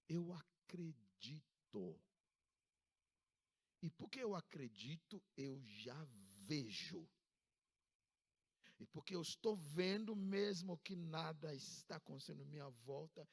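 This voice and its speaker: A man in his fifties from Ghana, preaching a sermon in a church service with a Ghanaian accent, with emphatic rises in pitch. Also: breathy edge. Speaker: pastor.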